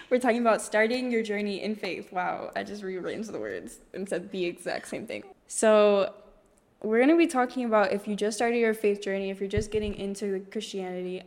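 Teen woman podcast co-host, chatty and self-correcting, mid-pitch; reverberant recording. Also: a little fast. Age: teens. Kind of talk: casual faith chat. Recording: room with long reverb.

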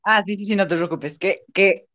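A woman's voice: mid-range voice, moderate pace, fairly bright tone, lo-fi sound. A woman with a Mexican accent doing a casual, improvised interview in slangy, informal language.

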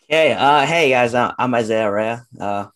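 A young man, a guest on a podcast, talking casually and haltingly with many fillers. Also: medium pitch, fairly bright tone. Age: young adult. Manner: halting, lots of "like" and "uh".

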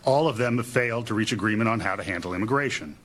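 An older man, a local government official, reading a formal prepared statement in a measured way. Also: lowish voice, a bit bright, a little hiss or hum.

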